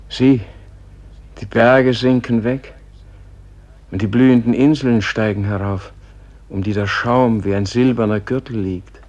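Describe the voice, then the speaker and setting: Gentle old man in a radio play, deep-ish voice, really slow, solemn, lyrical, trails off hushed. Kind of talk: German radio play acting, solemn and poetic.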